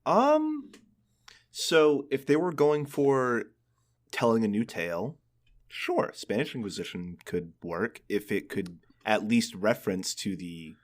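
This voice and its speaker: Guy w a lowish voice that moves around a lot, thinking out loud on a podcast. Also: very animated, slow pace. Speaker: podcast co-host. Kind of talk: casual chat.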